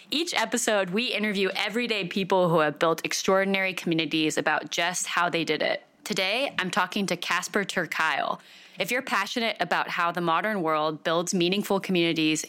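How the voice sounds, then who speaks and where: A woman hosting a podcast with a lowish voice. She reads her intro in an upbeat way and reacts to her guest with quick laughs and gasps. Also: fairly bright tone. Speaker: podcast host. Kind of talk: podcast interview with scripted intro.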